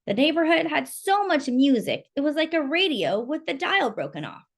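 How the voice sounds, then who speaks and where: A woman's voice: sits high, very animated, moderate pace, pitched above the performer's own voice. A woman voicing a woman food seller in a storybook, fondly reminiscing. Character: warm woman empanada seller.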